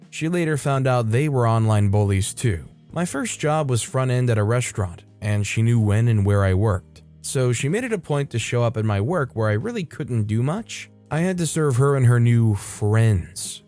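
A man's voice: mid-range voice, a little mellow. A man reading out online stories in a scripted storytime style, with an emphatic delivery. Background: music.

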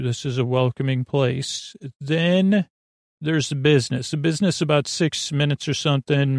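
A man hosting a sleep podcast in a rambling, conversational bedtime monologue, halting and drifting off on tangents in a gravelly voice. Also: mid-range voice, no echo, clean studio sound.

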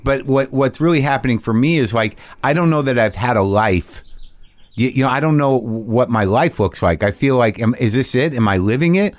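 A man's voice: medium pitch, normal speed. Rambling man in his fifties. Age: 50s. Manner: rambling, self-searching, frequent restarts. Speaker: podcast host. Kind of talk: casual chat.

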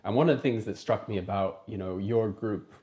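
A man from East Africa speaks as an interviewer in a casual chat. He talks fast and with enthusiasm. He chimes in readily as he goes. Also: on the low side, warm tone. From East Africa, Kenya and Uganda. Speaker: interviewer, host.

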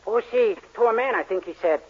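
A man's voice: sits high, a little fast, close to an everyday voice. A man playing a bashful young clerk posing as a duke with a put-on Spanish accent, naive and hesitant, in 1940s radio comedy style. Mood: sheepish bluffing. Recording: dull, noisy vintage radio recording.

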